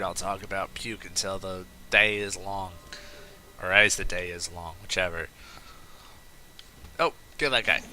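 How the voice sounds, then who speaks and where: Bright-voiced man rambling over gameplay. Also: deep voice, slight background noise. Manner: rambling, chatty, with off-topic asides. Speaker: let's play host. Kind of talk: casual gameplay commentary.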